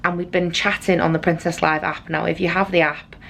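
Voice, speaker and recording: A woman with a naturally raspy voice, hoarse from losing her voice, chatting through a rambling vlog recap in her cruise cabin on a handheld camera. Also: lowish voice, little pitch movement, fairly quick, fairly bright tone, slight background noise. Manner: chatty and rambling, with frequent fillers. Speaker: travel vlogger. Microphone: handheld compact camera.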